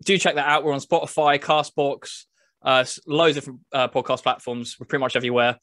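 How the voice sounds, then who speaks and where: A man's voice: medium pitch, fairly even intonation, fast talker, fairly bright tone, no room sound. A man hosting a casual video chat about games, talking as a podcast presenter. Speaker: podcast host presenting a game review video.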